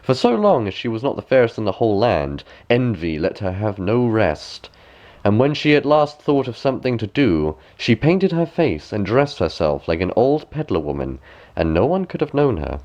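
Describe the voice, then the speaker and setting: A man reading a bedtime fairy tale aloud as an expressive storybook narrator. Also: on the low side, normal speed, highs cut off.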